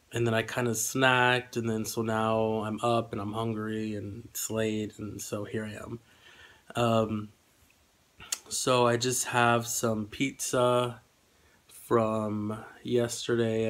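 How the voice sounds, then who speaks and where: A young man with a slightly congested voice, chatting casually and hesitantly as he rambles through a vlog. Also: fairly low pitch. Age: young adult. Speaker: vlogger. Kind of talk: casual eating vlog chat. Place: small room indoors at home.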